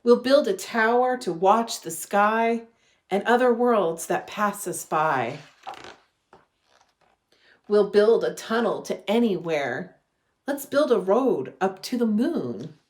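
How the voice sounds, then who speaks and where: A woman in her thirties reading a children's storybook aloud with gentle, deliberate phrasing. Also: mid-range voice, expressive. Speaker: religious education director reading a children's story. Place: living room at home.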